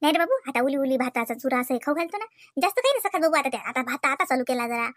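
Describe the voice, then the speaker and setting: A woman acts as a chatty village mother from a comedy cartoon, with a rural Vidarbha Marathi accent. Her voice is very high and bright, full of cooing baby talk and affectionate teasing. The sound is close and dry. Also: animated, racing, no room sound. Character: doting, chatty village mother and elder sister.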